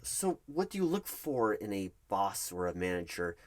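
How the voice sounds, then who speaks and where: A man's voice: low-pitched, very animated, normal speed, background noise. A man playing a nervous, polite recruitment manager in an ASMR interview roleplay, hesitant and stammering as he stays diplomatic. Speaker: job interviewer. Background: computer keyboard typing.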